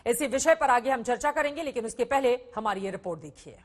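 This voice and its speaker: A woman's voice: fairly high pitch, expressive, fast. A woman anchoring a TV news debate, putting formal questions to guests.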